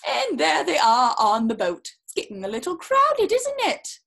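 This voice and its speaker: A woman in her thirties reads a picture book for children in a high voice. Her pitch swings dramatically from line to line. She stretches words for effect and drops in chatty little exclamations as she goes. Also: creaky. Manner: theatrical, expressive, drawn-out emphasis with exclamations. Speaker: storyteller reading a picture book. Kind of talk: children's story time read-aloud with chatty asides. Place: small room indoors, seated in an armchair.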